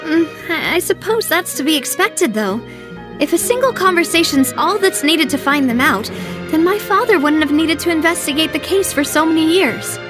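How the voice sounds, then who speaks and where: High-voiced, determined young woman sleuth. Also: lively intonation, normal speed, music in the background. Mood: pondering, analytical. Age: young adult. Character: determined young woman investigator, poised and resolute. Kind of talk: video game voice acting.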